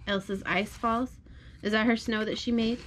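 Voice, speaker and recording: A woman's voice: a little high, steady tone, moderate pace. A woman, a mother, reading a picture book aloud at home and prompting a small child with simple questions. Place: home bedroom, indoors.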